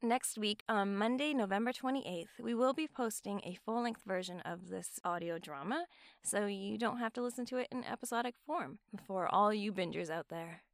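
A woman's voice: medium pitch, moderate pace, no room sound, studio quality. A woman giving a friendly scripted outro as the creator of an audio drama.

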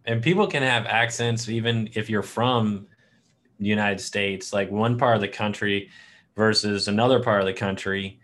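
Upbeat encouraging podcast host. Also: male voice, fairly low pitch, steady tone, normal speed, fairly bright tone. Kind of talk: interview.

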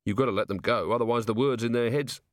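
A man's voice: medium pitch, fast talker, dry recording, clean studio sound, close to an everyday voice. A man voicing a blunt working-class male timber merchant with a colloquial, complaining manner in an audiobook. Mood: aggrieved.

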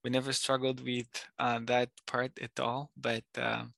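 Guest, male, bright and forward, dry close sound, reflective with frequent pauses. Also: medium pitch, completely flat delivery, gravelly, no room sound. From Democratic Republic of Congo. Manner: thoughtful, pauses often with ums. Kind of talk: casual interview answers.

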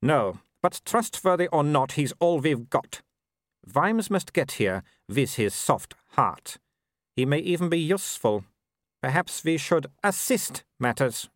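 A man voicing an arrogant, athletic young male werewolf noble who speaks with calm menace. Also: fairly high pitch, unhurried, no echo, studio quality, pitched above the performer's own voice. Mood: menacing.

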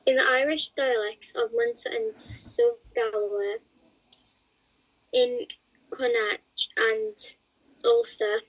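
A young woman co-hosting a podcast, reading passages aloud in a halting way with casual chat between. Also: a little high, lively intonation, rough recording. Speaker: podcast co-host.

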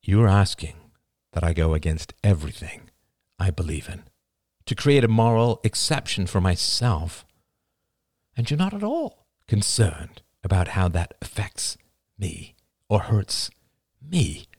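A man reading aloud, voicing a grown son who talks to his mother in an earnest, measured, quietly firm way. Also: low voice, sing-song, dry recording, studio quality, heavily acted.

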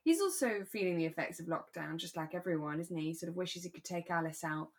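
Casual podcast co-host: woman, lowish pitch, chatty, thoughtful, conversational. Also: fast talker, slightly rough. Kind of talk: casual discussion of a radio drama.

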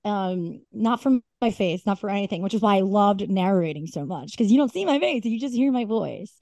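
A woman with a raspy voice and a New Jersey accent, chatting frankly as a podcast guest. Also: medium pitch, fairly quick, dry recording. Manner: frank, animated, restarts often. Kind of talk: casual podcast chat.

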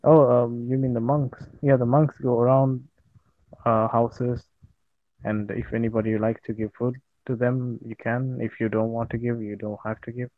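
A young man from Sri Lanka chatting casually, relaxed and hesitant with many fillers and laughs. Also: mid-range voice, normal speed, no room sound. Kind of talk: casual chat. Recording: dull lo-fi online call audio with faint noise.

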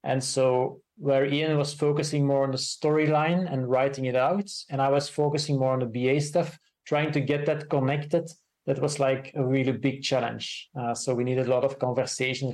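A man speaking English as a second language, explaining ideas as a co-author guest in a measured, thoughtful way with frequent pauses. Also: medium pitch, flat delivery, darkish tone. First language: not English. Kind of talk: explanatory conversation.

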